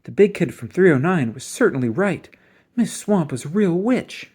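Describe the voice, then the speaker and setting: A storybook reader giving an expressive children's read-aloud narration. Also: medium pitch, moderate pace, husky.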